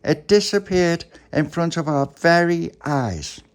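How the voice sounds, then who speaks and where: A man's voice: high voice, sing-song, fast talker, vocal fry, breathy edge, pitched above the performer's own voice, full character voice. A man voicing a curious, wide-eyed young girl in an oral story. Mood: amazed.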